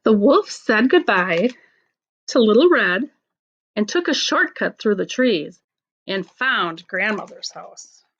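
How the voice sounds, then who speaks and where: A woman teacher reading a storybook aloud to children, in simple phrases with deliberate pauses. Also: mid-range voice, sing-song, a bit bright, dull audio, a little hiss or hum. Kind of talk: storybook read-aloud with explanatory asides.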